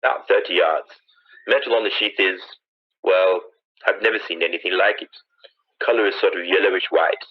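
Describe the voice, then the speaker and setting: Man playing low, husky radio field reporter. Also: steady tone. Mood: awed. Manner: descriptive, briskly steering, searching for words. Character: urgent, awed male on-scene radio news commentator. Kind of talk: 1930s radio drama, live commentary. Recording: dull lo-fi old radio sound.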